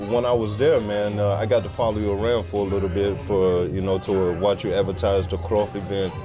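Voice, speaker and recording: A man hosting a casual interview, chatty and quick to affirm his guest. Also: lowish voice, level tone. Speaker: podcast host. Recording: music playing behind.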